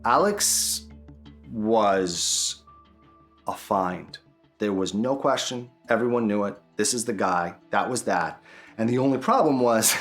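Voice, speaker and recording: A man, a producer of the show, talking easily and full of praise in an interview. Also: fairly low pitch. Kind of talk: featurette interview.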